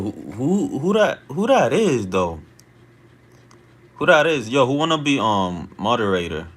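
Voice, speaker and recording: A man's voice: low voice, a bit bright. A man of Dominican family, born in the US, chatting casually on a late-night live stream. He reads out his chat in a slangy, laid-back way and keeps asking "you feel me?".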